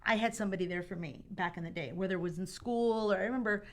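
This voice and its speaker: A young woman, a Spanish-first speaker, telling her own stories on a radio interview. She talks in a lively, animated way at an everyday middle pitch, with frequent fillers and restarts. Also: fast talker. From born in Chicago, raised partly in Mexico. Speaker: guest, children's book author. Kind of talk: casual interview talk.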